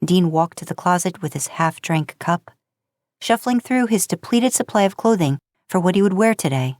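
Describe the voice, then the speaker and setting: A woman's voice: fairly low pitch, lively intonation, normal speed, a little mellow, dry recording. A woman narrating a story as a podcast host, in audiobook style.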